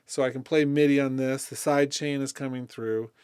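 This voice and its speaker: Male tutorial presenter, casual and informal, thinking aloud, crisp tone, close dry studio sound. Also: medium pitch, fairly even intonation, moderate pace, darkish tone, dry recording. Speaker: music production tutorial presenter. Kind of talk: casual software demonstration.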